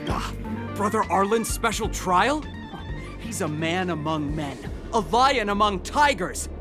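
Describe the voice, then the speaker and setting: An actor playing an excitable, reverent junior security staffer in a video game, dramatic and earnest. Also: medium pitch, a little slow, music in the background, close to an everyday voice.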